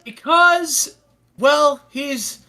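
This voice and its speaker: A man's voice: extremely high pitch, very slow, vocal fry, sharp tone. A man co-hosting a podcast who banters in a playful, jokey way. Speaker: podcast co-host. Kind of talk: casual joking chat.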